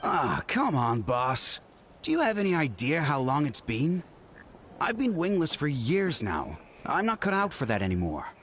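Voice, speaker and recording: An actor playing a contented, friendly ex-warrior who now runs hot air balloons in a video game. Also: male voice, fairly high pitch, wildly swooping pitch, a little slow, fairly bright tone, a little hiss or hum, exaggerated voice. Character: easygoing grounded warrior turned balloon keeper.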